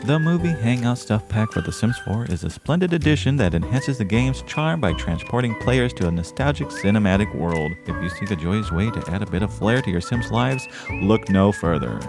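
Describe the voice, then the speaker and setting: A man's voice: on the low side, animated, moderate pace, vocal fry. A man playing a cheery, genteel old-time radio host, speaking in an effusive, theatrical and formal announcer manner. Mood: upbeat, promotional. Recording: music behind the voice.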